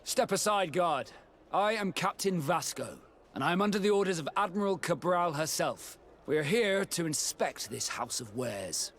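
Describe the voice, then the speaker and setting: An actor playing a proud, loyal sea captain with a romantic, guarded streak in a video game, speaking in a husky, measured, formal way. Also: male voice, on the high side, animated, fairly bright tone, a little hiss or hum. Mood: commanding.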